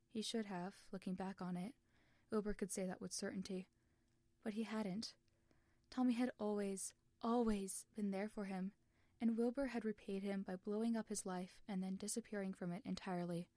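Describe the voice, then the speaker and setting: A woman's voice: medium pitch, warm tone, no room sound. A woman narrating fan fiction expressively from a script, as in an audiobook.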